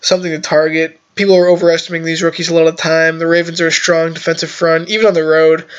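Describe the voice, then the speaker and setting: A man's voice: on the high side, level tone, fast, clean tone. A young man who is a little sick, talking through a casual fantasy football lineup review with analytical, rambling explanations. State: sick, slightly off voice. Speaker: fantasy football content creator. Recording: slightly dull lo-fi audio.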